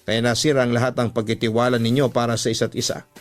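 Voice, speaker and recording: A man with a Filipino accent hosting a storytelling program, narrating and advising in a measured, earnest way. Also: medium pitch, flat delivery. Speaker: radio storytelling host reading listener letters. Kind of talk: dramatic scripted narration and advice, Tagalog love-story program. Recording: voice over a music bed. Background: background music.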